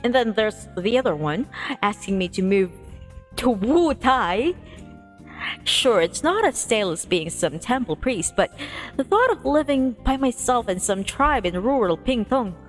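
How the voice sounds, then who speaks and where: Woman reading aloud with animated, swooping pitch. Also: a little slow. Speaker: livestreamer reading a visual novel aloud. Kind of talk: expressive read-aloud with casual chat asides. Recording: music behind the voice. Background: music.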